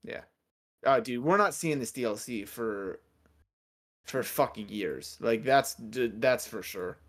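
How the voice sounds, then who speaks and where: A man's voice: medium pitch, animated, a little slow. A man co-hosting a casual gaming podcast, joking around and swearing freely, rambling with lots of fillers. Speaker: podcast co-host.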